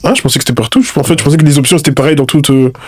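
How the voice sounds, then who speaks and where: A man's voice: on the high side, very fast, a little mellow, husky. A man hosting a casual interview podcast, playful and teasing, with many hesitations. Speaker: podcast host.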